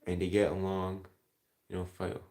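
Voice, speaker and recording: A man's voice: deep voice, completely flat delivery, normal speed, a little mellow. A man chatting casually on a livestream, rambling and swearing heavily as he replies to comments. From Ontario, Canada. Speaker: live streamer. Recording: echoey room sound.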